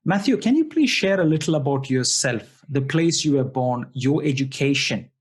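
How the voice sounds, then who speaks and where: Polite, husky-voiced male interviewer. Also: mid-range voice, lively intonation, normal speed. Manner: polite and formal, repeats praise. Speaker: interview host. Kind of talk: podcast interview.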